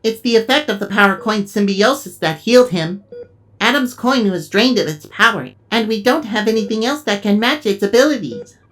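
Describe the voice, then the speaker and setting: A woman's voice: mid-range voice, lively intonation, normal speed, fairly bright tone, soft background music, close to an everyday voice, rough recording. Woman playing a formal robot medic. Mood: apologetic, factual. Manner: formal, clinical. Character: gentle robot assistant acting as medic, factual and apologetic. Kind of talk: audio drama acting.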